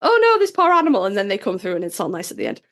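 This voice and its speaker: A woman co-hosting a podcast in casual chat, a little hoarse and nasal from being sick, telling stories in a chatty, swearing way. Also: medium pitch, racing. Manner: chatty, swearing, animated storytelling. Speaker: podcast co-host.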